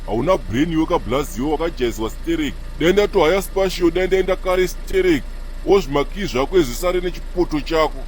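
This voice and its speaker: A man voicing a cocky, quick-tempered cartoon character who talks in a boastful, blustering way. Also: a little high, expressive, a little hiss or hum, close to an everyday voice. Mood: angry, insulting. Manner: placating, boastful, turning to blustering insults. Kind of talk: comedy cartoon dialogue in Shona mixed with English.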